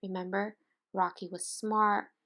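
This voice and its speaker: A woman teacher doing an interactive storybook read-aloud, expressive and deliberate, pausing to put questions to young listeners. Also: medium pitch. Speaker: teacher reading aloud to children.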